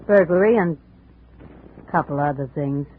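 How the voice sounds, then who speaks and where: Tough, bitter widow played by an actress, old radio. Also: female voice, low-pitched, moderate pace, lightly acted. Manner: tough, blunt, slangy. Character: hard-boiled, bitter ex-convict widow of a boxer. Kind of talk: 1950s radio drama acting. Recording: muffled, noisy old radio recording.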